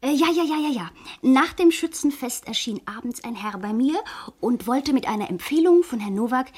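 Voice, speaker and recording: An actress playing a forthright young woman photographer giving a statement in a 1960s radio crime play, husky and matter-of-fact. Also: on the high side, expressive, normal speed, a little mellow. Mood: explanatory. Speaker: radio drama actor.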